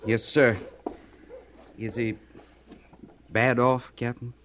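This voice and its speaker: An American actor playing a respectful, folksy cavalry sergeant in a 1950s western radio drama, deferential and drawn-out in speech. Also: male voice, medium pitch, level tone, fairly bright tone, a little hiss or hum. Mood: wry concern.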